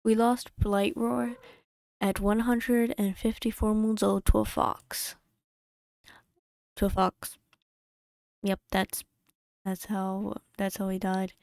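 Woman creator, mid-pitched and smooth, dry close desk mic, chatty rambling voice-over with many restarts. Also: level tone, darkish tone, no room sound. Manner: rambling, self-correcting, quick asides. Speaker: YouTube creator. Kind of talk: casual voice-over narration of a game playthrough. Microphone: home desk mic.

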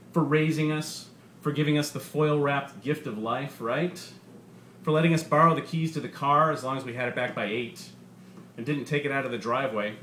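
A man's voice: medium pitch, a bit bright, close to an everyday voice. A man voicing a bitter, sardonic robot stand-up comedian with a profane, punchy, menacing delivery. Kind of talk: stand-up comedy routine in a short story reading. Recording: roomy bar with faint background noise.

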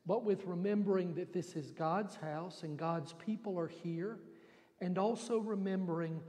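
Older pastor, slow and high, churchy reverb. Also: male voice. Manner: gentle, folksy storytelling with homely examples. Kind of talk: children's sermon. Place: church sanctuary. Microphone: handheld mic.

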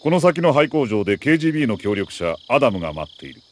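An actor playing a middle-aged army major who briefs an agent in a crisp, authoritative, deliberate way in a video game. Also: male voice, mid-range voice, sing-song, lightly acted. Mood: grave, commanding. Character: male military major, mission commander, precise and earnest. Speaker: mission commander briefing an agent.

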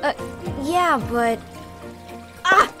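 A woman voices a teenage twin sister from a fantasy game dub, high-pitched and very expressive. She is brisk, scolding and quick to exasperation. Music plays clearly behind her. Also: full character voice. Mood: urgent, puzzled. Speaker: amateur voice actor. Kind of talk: anime-style video game fandub.

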